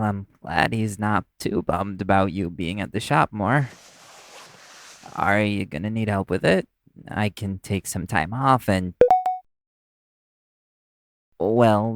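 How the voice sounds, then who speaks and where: A man plays a devoted boyfriend in an intimate audio roleplay. His voice sits fairly low with plenty of fry in it. He is attentive and gently teasing, insistent in a caring way. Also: slight background noise.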